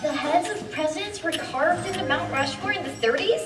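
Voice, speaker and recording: Woman as curious eager child, amateur radio drama, very high voice, distant roomy sound. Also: moderate pace. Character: curious, eager kid learning about nature and history. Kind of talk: amateur radio play acting. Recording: echoey, distant mic, loud music.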